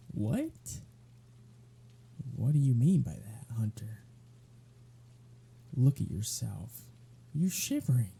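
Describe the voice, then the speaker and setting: A man playing a teasing, protective vampire in an intimate ASMR roleplay, with a wry, soft-spoken, bantering manner. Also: mid-range voice, gravelly, breathy edge, full character voice. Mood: bossy, worried. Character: teasing, protective male vampire, dry and flirtatious.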